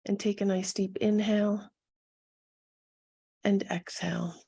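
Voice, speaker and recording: A woman leading a guided meditation as a coach, speaking softly and soothingly to her listeners with a gentle, husky delivery. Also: mid-range voice, flat delivery. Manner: soothing, gentle, unhurried instruction with pauses.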